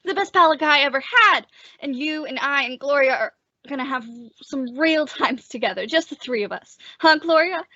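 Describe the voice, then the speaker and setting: A woman's voice: high voice, expressive, moderate pace, bright, forceful tone, dry recording, muffled recording, full character voice. A woman playing an eager, lovestruck young husband in an old-time radio drama. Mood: eager, grateful.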